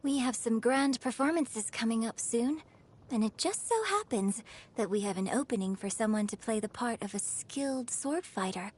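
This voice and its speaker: A woman's voice: on the high side, expressive, normal speed, a little mellow, slightly rough. A woman playing a refined, gracious young opera singer and troupe director in a video game, with elegant, formal, poetic phrasing. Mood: inviting. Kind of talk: video game character voice lines.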